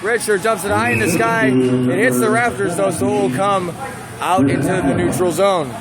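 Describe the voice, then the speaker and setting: A man working as a play-by-play announcer, voice hoarse from calling many games, talking briskly and breaking into shouts on big plays. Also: sits high, expressive, loud music behind the voice. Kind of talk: live sports play-by-play commentary. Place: small broadcast booth above an indoor rink. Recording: loud arena crowd and noise.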